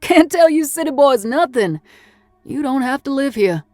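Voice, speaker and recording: Woman voicing a scornful Southern roadhouse cook, raised pitch. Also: expressive, normal speed, a little mellow, no room sound, soft background music. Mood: teasing, wary. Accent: American South. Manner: blunt, folksy, scolding. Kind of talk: audiobook character voice.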